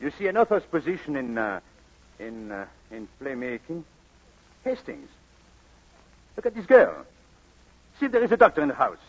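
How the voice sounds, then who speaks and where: An actor with a French accent playing a suave, excitable, gallant playwright in 1940s radio comedy style. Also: male voice, mid-range voice, normal speed, pauses often, a little hiss or hum, close to an everyday voice. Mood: urgent, gallant. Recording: dull vintage radio recording.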